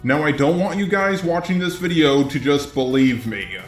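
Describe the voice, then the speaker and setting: A man's voice: fairly high pitch, moderate pace, reverberant, faint music behind. A man delivering opinionated drama commentary in a blunt, profane, indignant way. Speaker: commentary YouTuber, host.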